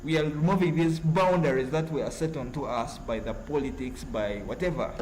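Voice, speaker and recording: A man with a Ugandan accent chatting casually on a livestream as he answers viewers, in a halting, repetitive, earnest way. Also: a little high, darkish tone. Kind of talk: casual live chat with viewers, reading out messages. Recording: noticeable background noise.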